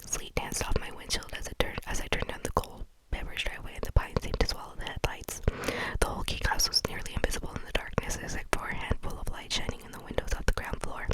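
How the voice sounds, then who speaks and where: A reader narrating a first-person novel in a soft whisper. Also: rough recording. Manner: soft whisper, quick reading. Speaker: audiobook reader.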